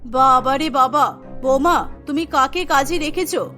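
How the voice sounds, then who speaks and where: A woman's voice: high voice, a little slow, sharp tone, soft background music, pitched below the performer's own voice. A woman voicing a scandalised, scolding old village mother-in-law in a cartoon story. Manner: indignant, scolding.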